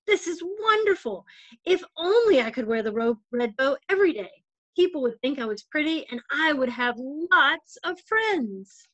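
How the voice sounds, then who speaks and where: Woman voicing a high-pitched, earnest young storybook girl. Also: expressive, moderate pace, fairly bright tone, dry recording, dull audio. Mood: happy wonder. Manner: sweet, earnest, wondering. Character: shy young girl, lonely, then gleeful and grateful.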